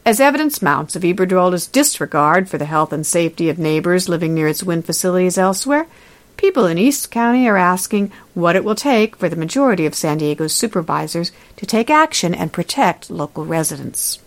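A woman reading a scripted news report in a serious, steady manner. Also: lowish voice, brisk. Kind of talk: scripted radio news read.

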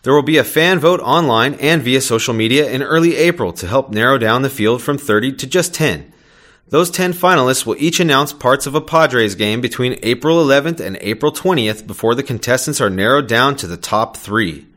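A man's voice: medium pitch, animated, normal speed, fairly bright tone. A man reading a scripted local news item on the radio in an upbeat, announcing manner. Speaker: news reporter.

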